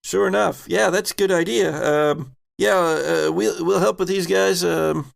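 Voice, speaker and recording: A man's voice: high-pitched, fairly even intonation, moderate pace, gravelly, voice pushed up. A man voicing a young, eager, laid-back space marine ensign in a chummy, hesitant way for a tabletop roleplay. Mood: agreeable. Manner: hesitant, chummy, dude-like slang. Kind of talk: improvised tabletop roleplay acting.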